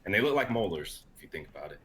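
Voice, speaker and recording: A man's voice: low voice, big pitch swings, racing, noisy background. A man in his twenties who chats casually and reads scripts aloud informally on an online stream. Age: 20s. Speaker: writer and reader on a streamed script workshop.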